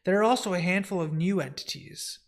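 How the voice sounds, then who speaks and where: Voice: mid-range voice, fairly quick, lightly acted. A comic reader voicing a dutiful male librarian who serves a lord, reporting in a formal, respectful way. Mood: grave, reporting.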